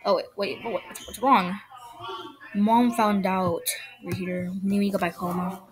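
A woman's voice: mid-range voice, level tone, a little slow, pitched below the performer's own voice, lightly acted, low-quality recording. A woman voicing a panicky caught-out kid. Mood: worried. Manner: panicky, stammering. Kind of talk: comedy skit.

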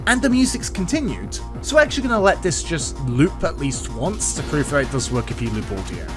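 A man's voice: a little high, big pitch swings, brisk, over music. A man narrating a coding tutorial as its host, with sing-song emphasis at the start of phrases. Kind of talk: scripted coding tutorial narration over screen recording.

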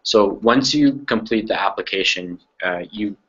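A man's voice: on the low side, unhurried, a bit bright, slight background noise. A young man moderating an online information session, explaining program details with frequent fillers and self-corrections over a video call. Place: small room with framed pictures. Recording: muffled video call audio.

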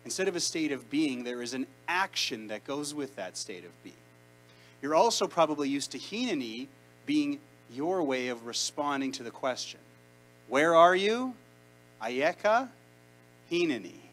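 A man's voice: a little high, big pitch swings, a bit bright, rough recording. A man around thirty from Canada, a rabbi preaching a conversational sermon into a handheld mic in a large sanctuary. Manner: conversational, emphatic preaching with deliberate pauses. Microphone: handheld mic, far camera.

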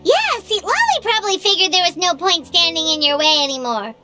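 A woman voicing a tiny, nosy, excitable childlike fairy companion in a video game, exclaiming as she talks. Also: very high voice, a little fast, loud music behind the voice. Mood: teasing, surprised. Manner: refers to self in third person, exclamatory.

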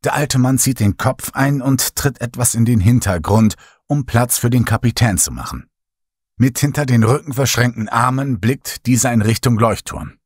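A man narrating a first-person horror story as an audiobook, in a slow, hushed, dramatic way. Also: medium pitch, breathy edge, no room sound, studio quality.

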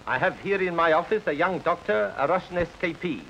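An actor playing a brisk male official who speaks into a telephone in a crisp, businesslike way. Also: fairly high pitch, lively intonation, sharp tone, slight background noise, heavily acted. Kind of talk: 1950s TV drama acting. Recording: dull old TV film sound with rain.

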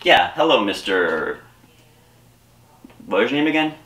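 A young man doing a scripted comedy sketch in a deadpan, rambling way, recorded indoors in a small room. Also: mid-range voice, a little slow. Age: young adult. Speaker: comedy YouTuber.

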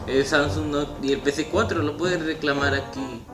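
A teenage male, speaking politely and haltingly, as if on a phone call. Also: a little high, fairly even intonation, over music. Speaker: YouTuber making a prank call. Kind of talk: improvised prank phone call, posing as a prize agent. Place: small room at home, indoors. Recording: roomy echo.

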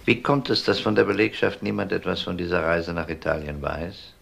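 A man's voice: deep voice, little pitch movement, brisk, creaky, a bit bright, full character voice. A man playing a composed police detective in a crime radio drama, questioning politely and methodically. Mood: probing. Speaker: actor.

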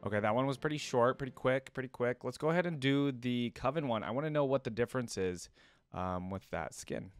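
A man's voice: mid-range voice, fairly quick, no echo. A young man hosting a reaction video, talking casually and curiously as he comments along. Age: young adult. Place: indoor room at a desk, gaming chair. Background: faint music and game audio.

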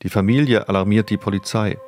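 A man's voice: on the low side, fairly even intonation, fast, a little mellow, dry recording, clean studio sound. A man reading scripted true-crime documentary narration. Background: music.

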